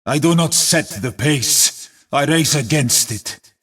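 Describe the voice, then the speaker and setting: Husky, slow-spoken stoic warrior. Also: male voice, a little high, animated, a bit bright, heavily acted. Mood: grim resolve. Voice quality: husky, rough-edged. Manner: solemn, measured, declamatory. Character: stoic battle-scarred warrior man, solemn and defiant. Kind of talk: heroic video game voice acting.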